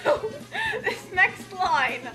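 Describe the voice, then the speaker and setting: A woman's voice: extremely high pitch, very animated, very slow, music in the background. A woman giving amused, giggly casual commentary as a co-reader, often repeating her words. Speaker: co-reader commenting on a fan fiction reading.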